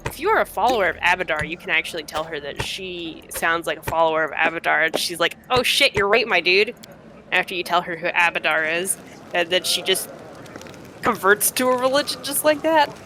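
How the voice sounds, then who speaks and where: A woman's voice: fairly low pitch, a little fast, background noise. A woman streamer giving casual game commentary in a joking, self-deprecating way.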